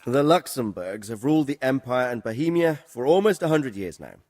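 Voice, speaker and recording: An actor playing a middle-aged, protective noble father who gives measured, instructive advice in a game. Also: mid-range voice, sing-song, darkish tone, natural voice. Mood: explaining. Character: protective, wise noble father advising his son. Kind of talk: dramatic game cutscene acting.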